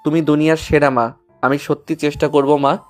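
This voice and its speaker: A man's voice: a little high, flat delivery, normal speed, faint music behind, close to an everyday voice. A man playing an earnest, polite, apologetic teenage schoolboy who is eager to please, in an audio story. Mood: contrite, earnest. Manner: polite, contrite. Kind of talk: Bengali audio story acting.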